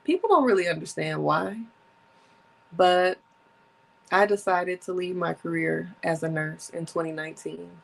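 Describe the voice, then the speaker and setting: Chatty woman in her thirties, gravelly edge. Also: fairly low pitch, poor audio. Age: 30s. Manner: chatty, animated, laughs often, sips drinks. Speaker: podcast host. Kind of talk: casual solo podcast chat.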